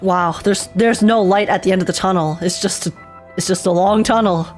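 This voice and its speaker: Chatty woman streamer, medium pitch. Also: fairly quick. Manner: chatty, expressive, giggly. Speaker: game streamer. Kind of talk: casual live playthrough reaction commentary. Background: game music.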